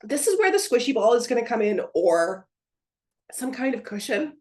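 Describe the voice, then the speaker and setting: Hoarse woman, pilates instructor, patient step-by-step cueing, echoey room. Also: on the high side, moderate pace. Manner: calm, encouraging step-by-step cueing. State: hoarse, getting over a cold. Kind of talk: guided pilates class cues. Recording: roomy echo.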